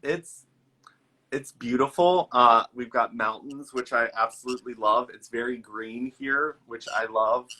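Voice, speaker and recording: Bright-voiced host from Virginia. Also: male voice, medium pitch, a little slow, low-quality recording. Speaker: podcast host. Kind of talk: casual interview chat.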